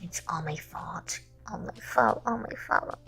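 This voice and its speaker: Woman acting out a husky, worried young hero. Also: lowish voice, expressive, unhurried, fairly bright tone, faint music behind, heavily acted. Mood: guilty, pleading. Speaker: amateur voice actor in a fan audio drama. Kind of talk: fan fiction audio drama acting.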